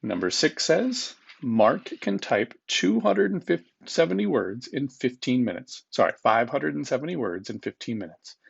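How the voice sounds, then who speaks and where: A man's voice: mid-range voice, gravelly, slightly rough, highs cut off. A man speaking as a teacher, reading test questions aloud to students in an informal, instructive manner and repeating them.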